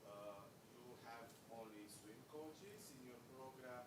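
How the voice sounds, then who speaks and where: A man's voice: medium pitch, slow pace, heavy background noise. A man in the audience asking a long, winding question from the floor at a conference. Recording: distant room mic in echoey hall.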